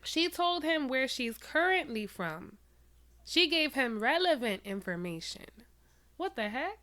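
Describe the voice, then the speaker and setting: A woman hosting a reaction channel, giving sassy, animated commentary straight to her viewers. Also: high voice, unhurried, dry recording.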